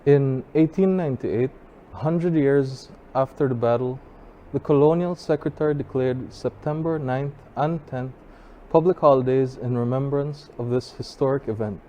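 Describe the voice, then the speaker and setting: A man giving an informal history talk as a guest speaker, reading from notes in a measured, halting way. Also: medium pitch, mellow, muffled recording. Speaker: guest speaker, college assistant registrar. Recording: clear background noise.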